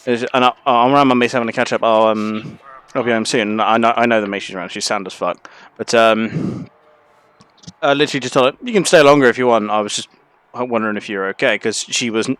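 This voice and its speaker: Chatty, foul-mouthed streamer. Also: male voice, medium pitch, fast, a bit bright, clear, resonant tone. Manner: chatty, swears freely. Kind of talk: casual gaming commentary.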